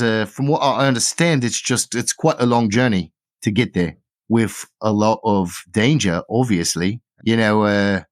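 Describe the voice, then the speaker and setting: A man's voice: mid-range voice, close to an everyday voice. A man voicing a chatty female theatre manager with a breezy, folksy, hesitant way of explaining.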